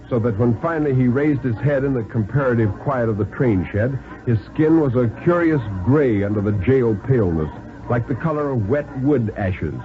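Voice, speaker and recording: An American man giving a dramatic, expressive reading of a thriller story for 1950s radio. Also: medium pitch. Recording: narrow 1950s radio, music behind.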